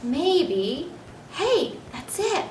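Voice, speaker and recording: Storybook father, voiced by a woman: earnest, kindly authority, expressive lines. Distant, roomy mic. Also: very high voice, drawn-out words, a little hiss or hum, pitched above the performer's own voice, heavily acted. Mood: pleading, anxious. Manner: expressive, emphatic storybook dialogue. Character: earnest, pleading father, a school principal, firm but kind.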